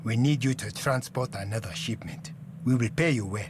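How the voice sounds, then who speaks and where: An actor playing a menacing, cold-blooded dealer in a video game, speaking deliberately and threateningly. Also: male voice, medium pitch, background noise, close to an everyday voice. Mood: quiet menace. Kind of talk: dramatic video game voice acting. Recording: music behind the voice.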